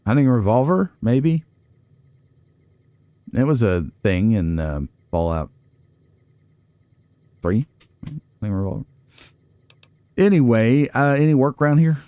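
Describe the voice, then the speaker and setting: A man's voice: mid-range voice, sing-song, takes it slowly, pauses often, warm tone, clear, resonant tone, no room sound. A man giving casual gameplay commentary, chatty and folksy, musing aloud to himself. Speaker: let's play host.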